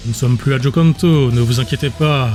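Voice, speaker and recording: Audiobook villain lord, male reader: medium pitch, unruffled, detached, coldly authoritative. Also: a little fast, faint music behind, close to an everyday voice. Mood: cool, detached. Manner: calm, detached. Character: cold, unfeeling lord overseeing an experiment.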